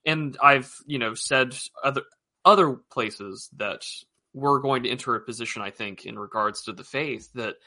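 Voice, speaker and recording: A man's voice: medium pitch, moderate pace, bright, forceful tone. A man hosting a podcast, chatting casually, wandering between points and quoting scripture in an easy way. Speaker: podcast host. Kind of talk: casual conversation.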